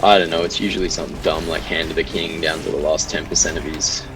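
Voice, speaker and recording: A man's voice: a bass voice, flat delivery, fast talker, poor audio. A man hosting a live game stream over a voice call, reading chat questions in a chatty, rambling way. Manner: chatty, rambling, laughs easily. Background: game music.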